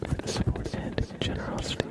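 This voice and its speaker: A man hosting an ASMR livestream, chatting casually in a soft, whispery murmur and gently repeating his phrases. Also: fairly quick.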